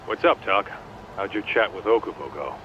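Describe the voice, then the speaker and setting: A man's voice: lowish voice, lively intonation, moderate pace, fairly bright tone. A man acting a brash, breezy tough guy on a phone call in a video game dub. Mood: breezy, confident. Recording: dull band-limited call, street noise.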